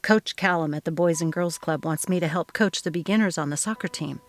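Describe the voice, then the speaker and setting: Story role: dutiful young man woman performing, restrained, sober delivery, lowish voice, music bed. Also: a little fast, dry recording, lightly acted. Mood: matter-of-fact. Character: responsible, earnest young adult son James.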